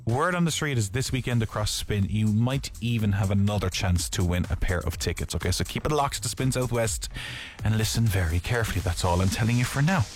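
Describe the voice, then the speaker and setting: A man's voice: on the low side, fairly quick, soft background music. A man hosting a breakfast radio show, chatty and jokey as he gives away tickets. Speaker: radio host. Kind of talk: breakfast radio chat and giveaway. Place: radio studio.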